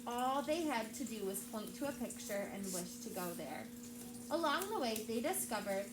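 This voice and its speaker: A woman's voice: on the high side, lively intonation, moderate pace. A woman teacher reading a chapter book aloud to her class, stopping often to ask the children questions. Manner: engaging, asks the class questions. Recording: roomy with background noise.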